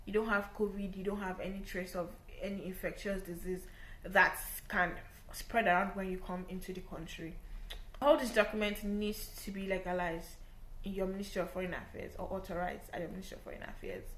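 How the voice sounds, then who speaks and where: A woman's voice: mid-range voice, steady tone, fairly quick, a bit bright, a little hiss or hum. A young woman with a Nigerian English accent talks to camera in a casual, explaining way. She repeats herself for emphasis and keeps asking "right?" Her voice sits mid-range and carries a noticeable roomy echo. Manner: chatty, repeats points, often says "like" and "right?". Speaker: vlogger giving visa advice.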